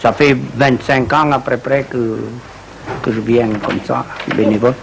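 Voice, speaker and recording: Italian-born guy volunteering at a club, talks plain and slow, bit of noise behind. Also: medium pitch, steady tone, vocal fry. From Italy. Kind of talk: casual interview.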